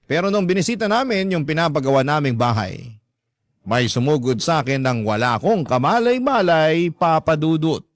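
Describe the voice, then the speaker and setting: Filipino guy reading a first person story for radio drama, slightly high voice, no room sound. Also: lively intonation, moderate pace, dry recording, clean studio sound.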